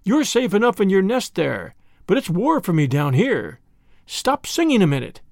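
A male storyteller brings to life a fierce little mongoose in a storybook, urgent and set on his task. The voice is bright and lively, with a gravelly grain. It sounds dry and close. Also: high voice, moderate pace, dry recording. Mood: impatient. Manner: urgent, determined.

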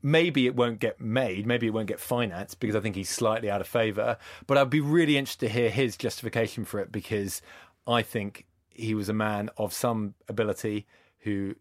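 A man's voice: on the low side, fairly quick, no echo. A man, a podcast guest, talking in a dry, sardonic, scathing way in casual chat.

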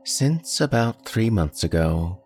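A man's voice: fairly low pitch, sing-song, takes it slowly, a little mellow, breathy edge, faint music behind, voice pushed up, exaggerated voice. A man voicing a young college-age daughter who answers patiently and a bit pointedly. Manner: patient, lightly sardonic.

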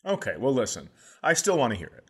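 A man's voice: mid-range voice, wildly swooping pitch, fast talker, slightly rough. A middle-aged man hosting a comedy podcast, chatting casually and playfully, slipping into goofy voices for jokes.

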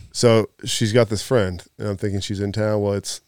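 A man in his thirties, a podcast guest, telling stories in an animated, laughing way during casual chat. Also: lowish voice, measured pace, creaky, dry recording, clean studio sound. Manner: animated storyteller, laughs mid-story. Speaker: podcast guest, former athlete.

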